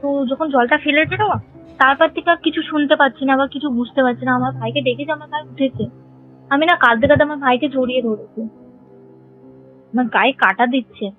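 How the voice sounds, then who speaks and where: A woman's voice: high voice, brisk. A woman caller telling of a haunting over the phone, earnest and scared, rambling as she recalls. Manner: fearful, earnest, rambling retelling. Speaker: caller telling a ghost experience. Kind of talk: phone-in storytelling. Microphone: phone call. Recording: tinny phone line with faint music.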